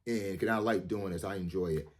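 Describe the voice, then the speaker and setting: Deep-voiced man in his thirties, rambling. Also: a little fast. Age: 30s. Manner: rambling, repeats words, many fillers; earnest, motivational. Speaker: vlogger. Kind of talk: casual monologue to camera.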